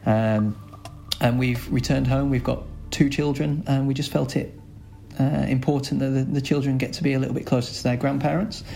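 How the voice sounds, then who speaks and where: A man with a Lancashire accent, a school's director of sport, answering podcast interview questions in a chatty, rambling way with many filler pauses. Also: mid-range voice, fairly even intonation, vocal fry, a little mellow, rough recording. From Lancashire, England.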